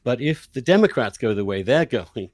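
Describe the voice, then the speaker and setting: Guy hosting a politics chat, mid pitch, pushes his argument hard, really dry room. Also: big pitch swings, moderate pace, darkish tone, dry recording. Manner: emphatic, argumentative. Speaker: podcast host, interviewer. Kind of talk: opinionated political discussion.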